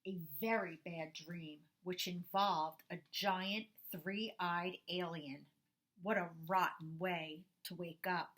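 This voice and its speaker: A grumpy little boy cow voiced by a woman tells his storybook tale with dramatic indignation. The pace is very slow and emphatic, and the pitch sits a bit low for a female reader. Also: steady tone, a bit bright, a little hiss or hum. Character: grumpy young boy cow telling his rotten day. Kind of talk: first-person children's storybook narration.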